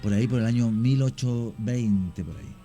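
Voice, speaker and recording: A man's voice: medium pitch, mellow, soft background music. Chilean man telling eerie cemetery tales. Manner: unhurried, many fillers and restarts, anecdotal. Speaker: guest storyteller. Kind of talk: radio conversation, recounting cases.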